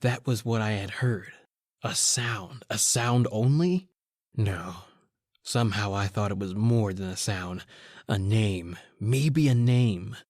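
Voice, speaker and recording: A man playing a haunted, brooding newspaper reporter who narrates his tale in old-time radio horror style, with dramatic pauses. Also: fairly low pitch, darkish tone, no echo, clean studio sound.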